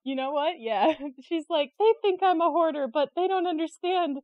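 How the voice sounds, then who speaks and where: A woman's voice: extremely high pitch, normal speed, no room sound, highs cut off. A woman being interviewed, telling stories in a casual, chatty and giggly conversational way. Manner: giggly, chatty, animated storyteller. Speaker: interview guest, creative director and artist.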